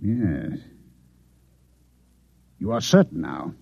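An actor playing a composed, secretive Martian posing as a newspaperman in a 1950s radio drama, speaking in a measured, condescending way. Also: low voice, very animated, gravelly, warm tone, husky, dry recording, exaggerated voice. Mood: cold, controlled. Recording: muffled, narrow-band old radio recording.

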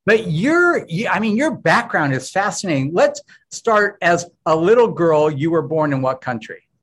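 A man's voice: sits high, big pitch swings, moderate pace, a bit bright, slight background noise. A man hosting an interview, talking enthusiastically with fillers and restarts. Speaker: interview host. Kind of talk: warm interview chat.

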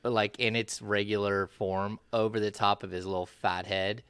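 Deep-voiced man hosting a sports podcast. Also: flat delivery, moderate pace, fairly bright tone, smooth, no room sound. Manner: rambling, filler-heavy, friendly. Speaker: podcast host. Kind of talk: casual sports podcast chat.

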